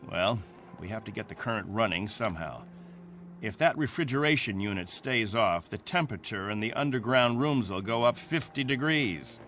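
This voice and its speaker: An actor playing a stern, devout captain of a struggling space colony in a radio drama, speaking with solemn, earnest authority. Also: male voice, on the low side, expressive, normal speed, fairly bright tone, faint music behind. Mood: brisk, practical.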